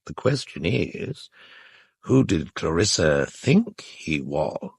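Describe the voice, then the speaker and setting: An actor playing an urbane, cynical literary critic in an audio drama, speaking in a dry, articulate, knowing way. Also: male voice, very deep voice, very animated, slow pace, gravelly, husky, no echo, exaggerated voice. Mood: wry, bitter. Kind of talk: naturalistic audio drama dialogue.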